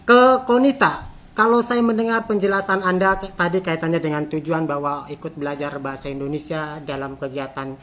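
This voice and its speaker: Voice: medium pitch, fairly even intonation, brisk, a bit bright. An interviewer hosting a conversational chat, often repeating and affirming what guests say. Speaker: interviewer, host.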